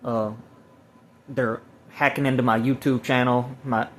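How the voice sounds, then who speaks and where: A man talks in a rambling, personal way, like someone recording his own testimony. His pitch sits in the middle and barely moves. He is halting, often repeating and restarting his phrases. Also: fairly even intonation, slight background noise. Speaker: self-described evangelist recording a personal video. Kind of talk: rambling spoken testimony to camera or phone.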